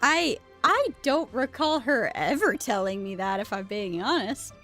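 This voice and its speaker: Animated woman streamer, slow, musing delivery. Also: a little high. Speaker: game streamer. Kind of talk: casual live gameplay commentary. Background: game music.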